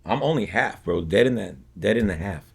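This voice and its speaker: A middle-aged man talks casually as a podcast guest. His voice is low and rich with a crackly, gravelly edge. He is slangy and joking, peppering his stories with "bro"-style familiarity. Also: animated, a little fast, a little mellow. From East Los Angeles, United States. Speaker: podcast guest, artist and veteran. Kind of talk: casual chat, storytelling.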